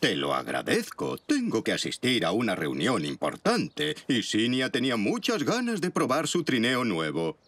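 A polite, busy dad zebra. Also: male voice, medium pitch, wildly swooping pitch, normal speed, few pauses, a bit bright, no room sound, heavily acted.

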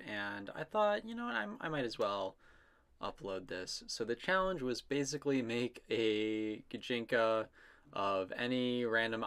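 Slow-paced man, casual art commentary. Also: lowish voice, a bit bright. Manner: rambling, self-amused, trailing musings. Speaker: illustrator narrating own drawing process.

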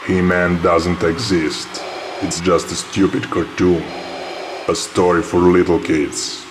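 A man playing a pompous, commanding masked dark-lord grandfather in a cartoon parody. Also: sits low, little pitch movement, takes it slowly. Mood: imperious. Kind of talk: cartoon parody character acting. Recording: loud background noise.

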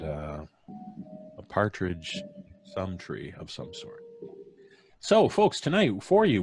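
A middle-aged man casually hosting a live podcast show from a studio mic. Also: sits low. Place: small indoor home studio on video call. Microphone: studio mic on boom arm.

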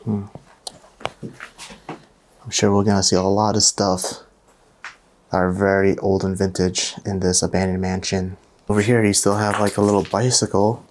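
A young man vlogging casually as he walks through an abandoned mansion, chatty and excitable. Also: fairly low pitch, slight background noise. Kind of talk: casual walkthrough vlog. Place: indoors in an abandoned house.

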